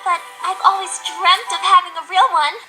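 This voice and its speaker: A woman's voice: extremely high pitch, normal speed. A woman voicing a bubbly, eager young female griffin in a cartoon-style audio drama, gushing and over-friendly. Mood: gushing, excited. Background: music.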